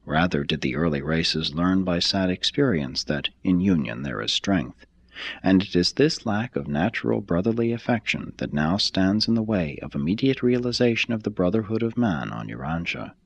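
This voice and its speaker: A man reading a formal text aloud as scripted narration, in a measured, deliberate manner. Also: sits low, lively intonation, husky, no echo. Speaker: audiobook reader.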